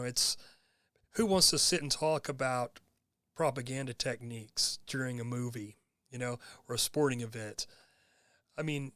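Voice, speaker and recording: A man hosting his own podcast, hoarse from being sick, talking in an earnest, rambling monologue full of fillers. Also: a little high, fairly bright tone, no echo, clean studio sound. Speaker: podcast host. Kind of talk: casual monologue.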